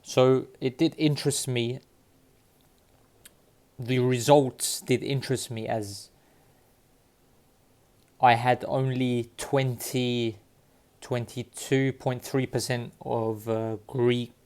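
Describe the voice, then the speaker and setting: A man from Cyprus talking casually to his viewers, halting often with fillers and restarts. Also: mid-range voice, level tone, darkish tone. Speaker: vlogger sharing DNA results. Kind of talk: casual talk to camera. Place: London.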